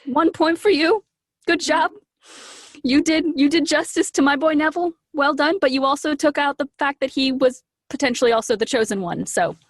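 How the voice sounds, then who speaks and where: A woman chatting casually as an interview guest, animated and gushing, slipping into little acted-out asides. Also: high-pitched, normal speed, no echo. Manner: animated, gushing, acts out her own quoted lines.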